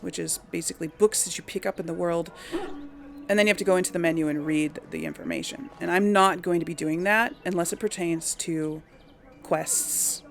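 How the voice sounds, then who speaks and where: Chatty woman gamer with light creak. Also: on the low side. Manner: informal, chatty, thinking aloud. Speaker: host of a game playthrough series. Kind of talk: casual chatty let's play commentary.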